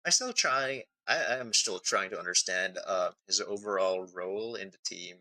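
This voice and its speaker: A man's voice: low-pitched, bright, forceful tone, low-quality recording. A man streaming a game, talking casually as he thinks aloud, reads out on-screen text and asks himself questions. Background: music.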